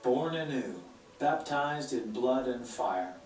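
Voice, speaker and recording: Wry game bard and storyteller: eloquent, theatrical, slightly distant, music playing behind. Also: male voice, medium pitch, animated, slow. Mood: wry, probing. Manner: eloquent, dramatic, storyteller's phrasing. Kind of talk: video game dialogue and storytelling narration. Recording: roomy game playback, distant, music underneath.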